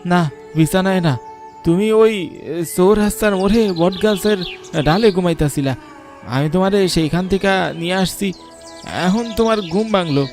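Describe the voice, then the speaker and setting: A man's voice: high voice, normal speed, long pauses, a little mellow, voice pushed up, close to an everyday voice. A man voicing an anxious, exasperated cartoon village husband who talks insistently in colloquial Bangladeshi Bengali. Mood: alarmed. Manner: colloquial rural dialect, pleading and insistent. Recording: music behind the voice.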